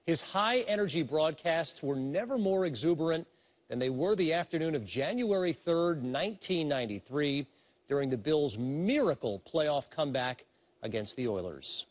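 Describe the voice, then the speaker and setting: A man reading a scripted documentary narration in a smooth, measured way. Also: on the high side, expressive.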